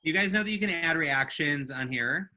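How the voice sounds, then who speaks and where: Very bright, forceful man chiming in. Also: fairly high pitch, lively intonation, normal speed. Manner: offhand asides and clarifications. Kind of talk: casual chat.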